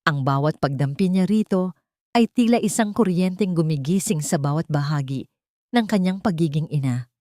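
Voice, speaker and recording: A woman narrating a scripted dramatic story in a measured, solemn manner. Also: low-pitched, animated, dark tone, no room sound, clean studio sound.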